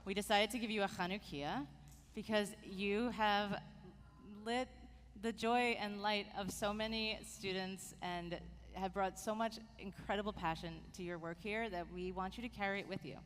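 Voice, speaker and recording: A woman's voice: mid-range voice, fairly even intonation, normal speed. A woman rabbi giving a heartfelt sermon tribute to a departing staff member in a synagogue. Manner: warm, heartfelt address to an honoree. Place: synagogue sanctuary. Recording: long hall reverb, faint noise.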